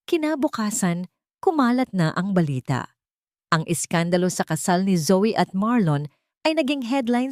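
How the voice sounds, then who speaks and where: A woman's voice: mid-range voice, darkish tone, dry recording, clean studio sound. A woman reading a scripted, dramatic romance story as its narrator.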